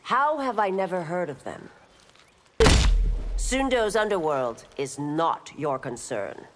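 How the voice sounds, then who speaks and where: A woman's voice: fairly low pitch, expressive, slow pace. A woman playing a stern, formal, dutiful constable in a fighting game. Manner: formal, commanding. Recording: loud background noise.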